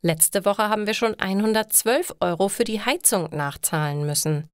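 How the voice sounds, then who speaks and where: A woman's voice: medium pitch, normal speed, clean tone, no echo, studio quality, close to an everyday voice. A woman voicing a practical, thrifty woman in an audio story, earnest and fretting over household matters. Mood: exasperated.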